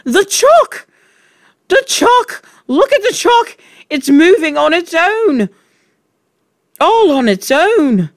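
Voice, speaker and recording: A woman voicing a hysterical, excitable young schoolboy in a storybook, screaming in a shrill voice. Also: normal speed, few pauses, no room sound, clean studio sound. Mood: hysterical excitement.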